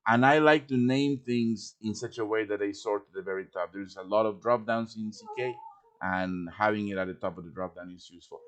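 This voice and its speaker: A man presenting an informal live software tutorial, explaining things step by step with casual asides. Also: fairly low pitch, fairly quick. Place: live-streamed voice chat with screen share.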